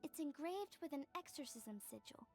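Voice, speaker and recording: A woman voicing a friendly young street-smart artisan in a video game, expressive and explanatory. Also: sits high, moderate pace, faint music behind. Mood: concerned. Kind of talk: video game dialogue acting.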